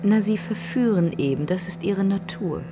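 A woman's voice: mid-range voice, animated, normal speed, natural voice. An actress playing a worldly female confidante in a radio drama, with a sly, matter-of-fact manner. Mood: sly, knowing. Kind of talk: literary radio drama acting. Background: instrumental music.

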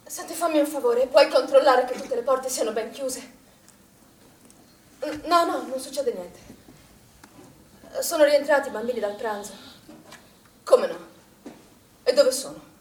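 An actress playing a tense, bossy woman in charge at a swimming pool, brisk and insistent in a stage drama. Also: high voice, a little mellow. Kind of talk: stage-style Italian drama acting. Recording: echoey, distant stage recording with faint noise.

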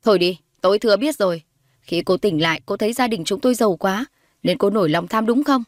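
A woman's voice: medium pitch, fast, no echo, lightly acted. Woman voicing wealthy middle-aged mother, domineering, scornful, sneering, accusing, audio story, dry sound. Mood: angry, accusing.

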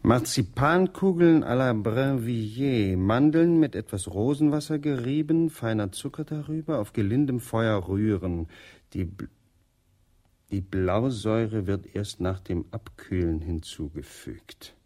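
A deferential butler in a radio drama. His low, husky voice moves very slowly. It rises and falls widely as he puzzles over what he reads. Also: lively intonation, a little mellow. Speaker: actor.

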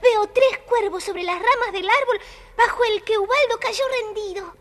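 A woman's voice: extremely high pitch, moderate pace, fairly bright tone, faint music behind. A woman voicing an eager, curious little child listening to a story on a children's record.

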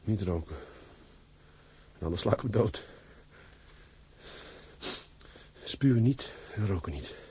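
A man's voice: lowish voice, expressive, normal speed, mellow, husky. A man playing an anxious young sailor in a Dutch radio drama, speaking hesitantly and repeating himself. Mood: nervous muttering. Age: young adult. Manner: hesitant, stammering repetitions. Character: anxious young sailor studying for officer's exam.

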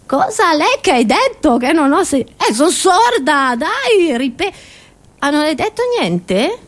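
A woman acts out a chatty old grandmother with a high voice. She is half-deaf and indignant, stammering and repeating herself as she grumbles through a comic monologue. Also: big pitch swings, takes it slowly, heavily acted. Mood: teasing. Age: older. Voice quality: high-pitched old-lady voice.

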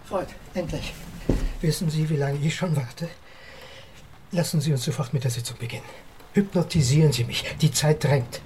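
A man's voice: a little high, moderate pace, darkish tone, slight background noise, lightly acted. An actor playing an agitated, impatient male time traveller from a past century in a radio play, urgent and demanding.

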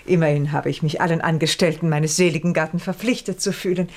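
A woman's voice: low voice, steady tone, fast talker, darkish tone. An actress in a German radio play playing a scheming, wealthy widowed noblewoman, haughty, condescending and commanding.

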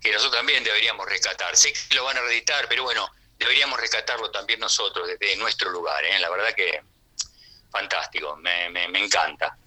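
Argentine male radio guest, casual and affectionate, strikingly sharp bright tone. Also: on the low side, brisk, low-quality recording.

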